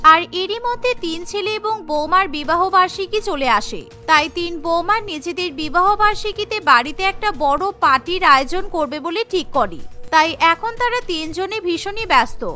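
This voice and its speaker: Very high, bright woman narrator. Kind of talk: scripted cartoon story narration.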